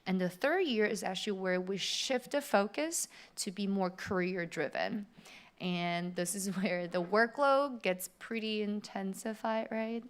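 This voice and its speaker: A woman's voice: medium pitch, measured pace. A middle-aged woman from Taiwan giving an upbeat open house presentation in a theater, speaking directly to prospective students. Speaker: animation faculty presenter. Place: theater auditorium.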